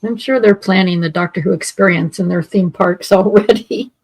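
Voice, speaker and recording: Gravelly, husky woman making wry remarks. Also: mid-range voice, normal speed, a little mellow, dry recording, low-quality recording. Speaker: podcast guest. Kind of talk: casual podcast chat.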